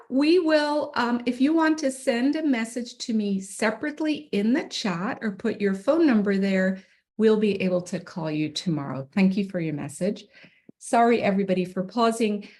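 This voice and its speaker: A woman's voice: fairly high pitch, darkish tone. A middle-aged woman from Ireland, presenting slides to parents at an online meeting in a friendly, informal way with frequent ums, on a computer mic. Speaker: special education administrator.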